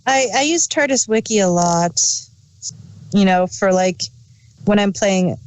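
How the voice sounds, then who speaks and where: A woman with a mid-pitched voice chats as a review co-host. Her delivery is informal and bantering, clearly unscripted. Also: lively intonation, a little slow, poor audio. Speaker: podcast co-host. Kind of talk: casual unscripted review chat.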